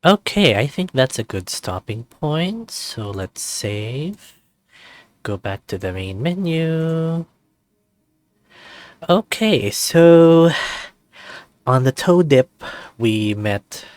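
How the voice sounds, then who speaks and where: A man's voice: on the low side, lively intonation, slow pace, long pauses, no room sound. A man narrating a visual novel and chatting casually as the host of a read-aloud channel.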